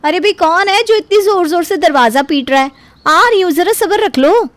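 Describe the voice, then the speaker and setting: A woman's voice: very high voice, animated, fairly quick, no room sound, voice pushed up. A woman voicing a brash, self-righteous young daughter-in-law in a cartoon, arguing back defensively. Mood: indignant. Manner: butts in, argues back, defensive. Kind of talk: cartoon voice acting.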